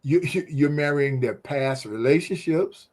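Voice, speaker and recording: A man's voice: a little high, unhurried. Emphatic, preachy talk show man. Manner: emphatic, preachy, animated storytelling. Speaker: podcast co-host. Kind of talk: casual faith-based talk show chat.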